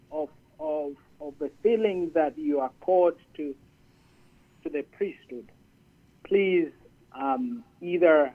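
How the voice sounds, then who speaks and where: A man from Zambia, a priest and Air Force chaplain, speaking as a guest in a radio interview and often repeating words as he searches for them. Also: a little high. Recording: tinny phone line.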